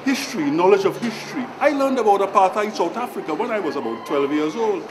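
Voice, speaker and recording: Older Trinidadian man, fairly high voice. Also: animated, fast talker, background noise. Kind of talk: reflective interview talk. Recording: crowd chatter behind.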